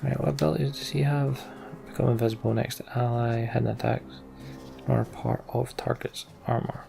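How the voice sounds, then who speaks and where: A man's voice: mid-range voice, flat delivery, moderate pace, creaky, dark tone, soft background music. A man giving casual, informal gameplay commentary, half talking to himself with sweary, sardonic asides. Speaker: let's play host.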